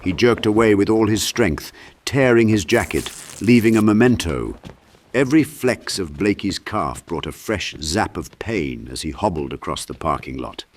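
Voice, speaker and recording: A man's voice: sits low, lively intonation, normal speed. A man reading a horror audiobook as a narrator, in a theatrical, intense storytelling manner. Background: sound effects with clicks.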